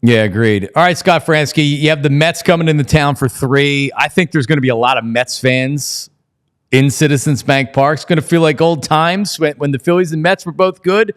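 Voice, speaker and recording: A man's voice: on the high side, normal speed, smooth. A man hosting a casual sports talk show, conversational and opinionated as he interviews a guest.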